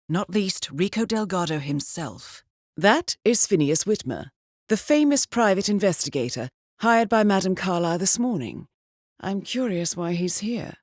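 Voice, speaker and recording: An actress playing a composed, shrewd intelligence handler in a video game, giving mission briefings in a measured, precise and dryly wry way. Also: female voice, mid-range voice, a little mellow, no room sound, clean studio sound.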